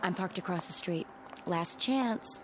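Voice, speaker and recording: A woman's voice: on the low side, measured pace, very noisy, lightly acted. An actress playing a breezy, teasing young female friend in a radio drama, with a wry, casual manner. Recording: radio drama with prominent music underneath.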